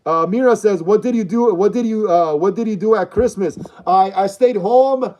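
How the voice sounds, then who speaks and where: A man in his thirties hosting a casual livestream, reading out viewer comments and chatting in a relaxed, repetitive way with slang. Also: sits high, moderate pace, a little hiss or hum. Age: 30s. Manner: chatty and repetitive, uses slang like bro and dog. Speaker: livestream host.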